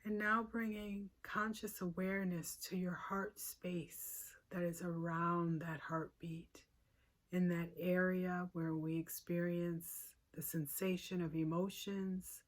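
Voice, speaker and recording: Slow-paced woman guiding a meditation. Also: fairly low pitch, flat delivery. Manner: unhurried, pausing between short cues. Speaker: guided meditation teacher. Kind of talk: guided meditation instruction.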